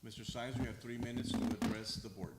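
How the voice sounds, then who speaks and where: A man presiding over a city board meeting, moving the agenda along in a brisk, procedural way. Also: mid-range voice, fairly even intonation. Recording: echoey room with background noise.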